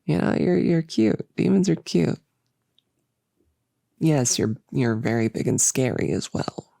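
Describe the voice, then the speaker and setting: A creaky, dry-recorded voice of a young cultist acted by a woman in an intimate audio roleplay. She is nerdy and playful, rambling in halting bursts. She teases and coddles in a casual, doting way. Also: very deep voice, expressive, mellow, dry recording, heavily acted. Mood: smitten, teasing. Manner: chatty, halting, teasing, coddling.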